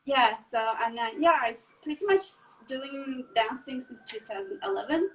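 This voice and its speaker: A woman's voice: on the high side, lively intonation, normal speed, fairly bright tone, a little hiss or hum, rough recording. A woman in her thirties from Turkey, for whom Turkish is the first language, answering interview questions in a casual, hesitant way with many fillers and restarts. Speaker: guest dancer.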